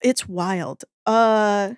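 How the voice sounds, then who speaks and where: A woman's voice: medium pitch, steady tone, extremely slow, clean tone, no room sound, clean studio sound. A woman speaking as a podcast guest in casual, opinionated conversation, thinking aloud in an informal, emphatic way. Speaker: podcast guest, audio fiction writer.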